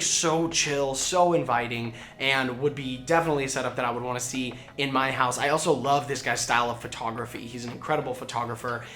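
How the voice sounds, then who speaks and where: A man with a hoarse voice hosting a casual online gaming and entertainment news update, talking to viewers in an enthusiastic, emphatic, informal way. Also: medium pitch, a bit bright. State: hoarse from shouting. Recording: music under the voice. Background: background music.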